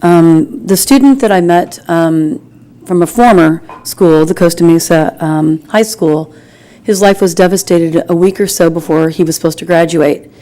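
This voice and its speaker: An American woman giving an earnest, informal public address in a halting but insistent way, as a community member at a meeting. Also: lowish voice, warm tone. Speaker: community member speaking in public comment at a school board meeting.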